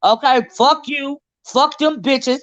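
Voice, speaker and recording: A woman's voice: sits high, slow pace, dull audio. Blunt, cursing woman livestreamer. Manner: profane, blunt and combative, emphatic cursing. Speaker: livestream host. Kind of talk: heated livestream commentary.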